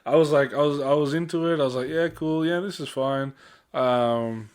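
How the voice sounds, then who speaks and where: A man's voice: on the high side, normal speed, darkish tone, clear, resonant tone. A man with an Australian accent co-hosting a podcast, chatting casually in a blokey, informal way with some mild swearing. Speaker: podcast co-host. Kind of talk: casual pop-culture podcast chat.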